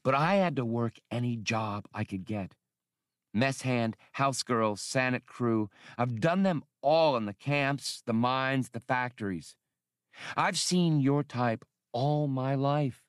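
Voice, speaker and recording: A man's voice: medium pitch, slow, clear, resonant tone, no echo, studio quality, pitched above the performer's own voice, lightly acted. A man voicing a proud, blunt young working-class woman spacefarer in an audiobook, speaking emphatically. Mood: exasperated accusing. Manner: scolding, emphatic.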